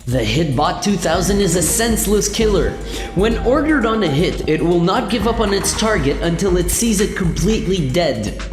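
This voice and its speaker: A woman narrates a sci-fi radio play in a fairly low voice with animated pitch. The recording is echoey with long reverb, and music plays clearly behind her.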